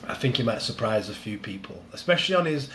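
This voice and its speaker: A man chatting casually as a podcast guest, a strongman coach with a head cold that makes him a little nasal, talking in a relaxed, rambling way. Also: medium pitch, fast talker, a little hiss or hum. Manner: relaxed, rambling, laughs easily.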